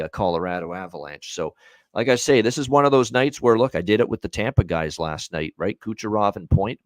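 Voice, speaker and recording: A man's voice: sits low, no room sound. A man hosting a sports betting show, chatting casually and rambling through his picks.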